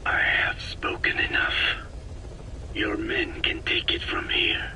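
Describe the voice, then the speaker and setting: An actor playing an elderly, solemn Navajo sage in a video game, speaking formally in a hushed, breathy rasp. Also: male voice, in a whisper, low-quality recording. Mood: solemn, lecturing. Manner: slow, grave, measured, formal phrasing. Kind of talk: video game voice acting, philosophical monologue.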